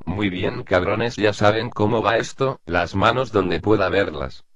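A man's voice: on the low side, unhurried, highs cut off, a crowd in the background, close to an everyday voice. A man playing a menacing, foul-mouthed gangster in an amateur drama dub, crude and curt. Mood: menacing, impatient. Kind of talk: amateur Spanish dub acting.

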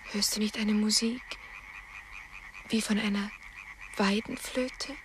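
Radio play lover, played by a woman: young female, intimate, hesitant, anxious, slow delivery, faint noisy night of croaking frogs. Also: medium pitch, fairly even intonation, close to an everyday voice. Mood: anxious, wistful.

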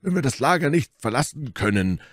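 Here is a man acting out a blustering musketeer in an audiobook adventure. He sounds blunt, emphatic and a little baffled. The voice jumps up high and loud over a dry, close recording. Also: sing-song, fairly quick, dry recording. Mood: blunt, puzzled. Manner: emphatic, querulous. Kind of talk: audiobook character dialogue.

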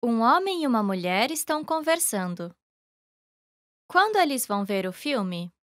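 A woman reading scripted lesson dialogue in a careful, even way. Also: fairly high pitch, moderate pace. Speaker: language lesson voice actor.

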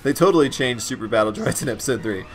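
A man hosting a let's play, commenting on gameplay in an excitable, enthusiastic way, his voice raspy and a bit stuffed up. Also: mid-range voice, quick pace, faint music behind.

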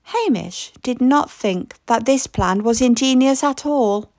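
A woman reading a children's story aloud with expressive, deliberate, dramatic narration. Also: on the high side, no echo, clean studio sound. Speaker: storybook reader.

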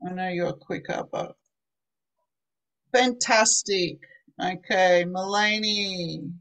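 A woman teaching an online English class over a video call, slow and patient, praising her students often. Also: fairly low pitch, fairly bright tone. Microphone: laptop webcam on a video call.

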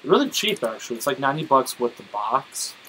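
A man talking casually as a let's play co-host, rambling through his stories a bit haltingly. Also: mid-range voice, very animated, sharp tone, slight background noise, poor audio. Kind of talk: casual chat over gameplay.

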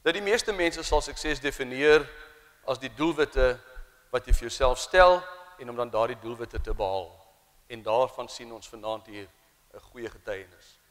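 A man speaks from a podium in a large ceremonial hall, his words slow and emphatic. His voice sits at an ordinary middle pitch. A long reverb trails after each phrase. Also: a bit bright. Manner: measured, emphatic public speech. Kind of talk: formal ceremony address.

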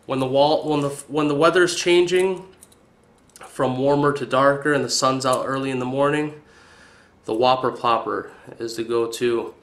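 A young man hosting a casual fishing video indoors, talking in a relaxed, hesitant way with frequent fillers, recorded on a camera mic at a counter. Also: medium pitch, moderate pace. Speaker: fishing channel host. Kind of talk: casual tackle review. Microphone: camera mic on tripod.

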